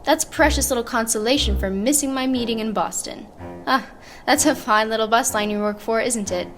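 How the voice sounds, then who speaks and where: A woman's voice: a little high, fairly quick, faint music behind, close to an everyday voice. A woman voicing an impatient male businessman who complains sarcastically, in a school radio play. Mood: sarcastic, irritated. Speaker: student actor.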